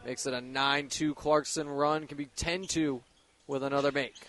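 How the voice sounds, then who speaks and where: Guy on radio doing basketball color commentary, voice on the high side, chatty and explains stuff. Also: fairly even intonation, moderate pace, a bit bright. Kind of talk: live radio sports analysis.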